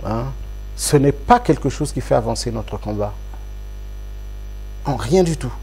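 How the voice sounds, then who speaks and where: A man's voice: medium pitch, expressive, a little slow, mellow, soft background music. A man from Congo, an opposition politician speaking in an interview, making his points emphatically with rhetorical repetition. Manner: emphatic, rhetorical, repeats phrases for effect.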